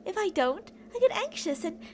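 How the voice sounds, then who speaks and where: A woman's voice: very high voice, sing-song, moderate pace, slight background noise. A woman playing an obsessive, delusional female kidnapper who rambles theatrically and teasingly to a captive in an ASMR roleplay. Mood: matter-of-fact rambling.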